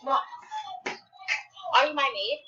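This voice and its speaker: A woman's voice: sits high, takes it slowly, sharp tone, faint music behind. A young girl chatting casually and playfully as she improvises a pretend-play game, picked up on a muffled, distant home mic. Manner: playful, improvising lines aloud. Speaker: player voicing a roleplay video.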